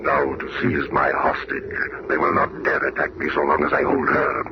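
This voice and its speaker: An actor playing a pompous, megalomaniac masked supervillain in a 1970s superhero radio drama, declaiming grandly and menacingly. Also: male voice, very deep voice, level tone, moderate pace, muffled recording. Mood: threatening. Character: pompous megalomaniac male supervillain in iron mask. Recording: noisy vintage radio broadcast recording.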